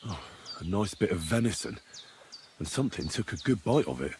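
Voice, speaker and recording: Scrappy young adventurer lad, plain talker, lively pitch, game scene with some background noise. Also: male voice, brisk. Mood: worried, searching. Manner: plain-spoken, questioning, swears. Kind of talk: video game dialogue acting.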